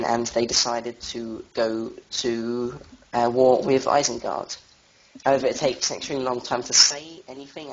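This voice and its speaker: A young man with a cold giving an informal lore talk as a tour guide, halting and full of fillers. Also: mid-range voice. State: has a cold. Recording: dull lo-fi voice chat audio.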